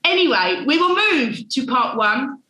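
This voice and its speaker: Bright enthusiastic woman hosting a webinar. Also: high-pitched, sing-song, a little slow, echoey room, rough recording. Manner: upbeat, enthusiastic introductions. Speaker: webinar host.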